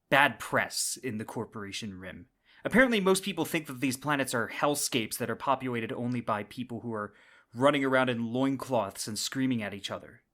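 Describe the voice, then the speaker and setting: A man's voice: medium pitch, pushed and bright. A man speaking as a podcast host, delivering explanatory commentary in a conversational, emphatic way with rhetorical questions. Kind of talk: semi-scripted explainer commentary.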